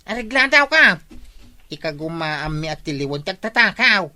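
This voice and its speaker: A woman with an Ilocano accent playing a brisk, cheeky member of a village night watch in a radio comedy. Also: on the low side, sing-song, sharp tone, no room sound, full character voice. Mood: eager, reporting. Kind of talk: comedy radio drama acting.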